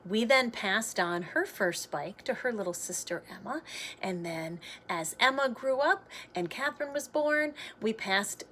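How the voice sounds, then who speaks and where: A woman reading a children's storybook aloud like a teacher, in an expressive, sing-song way for young listeners. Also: fairly high pitch, unhurried, slight background noise.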